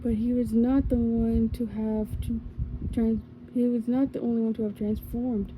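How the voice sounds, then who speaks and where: A young woman reads a fairy tale aloud for an ASMR channel, gentle and calm. Her pace is very slow and her tone is deeply mellow and dark. Her pitch sits slightly high. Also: slight background noise, poor audio. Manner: gentle, calm, with small slips and restarts. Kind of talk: soft-spoken ASMR storybook reading.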